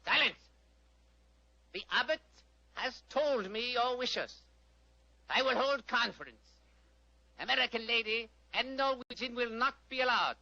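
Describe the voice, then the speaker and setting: Imperious, very high-pitched emissary. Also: male voice, a little slow, creaky, long pauses, bright, forceful tone, no echo, exaggerated voice. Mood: commanding. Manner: clipped, commanding pronouncements. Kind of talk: old-time radio drama acting. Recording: muffled vintage radio.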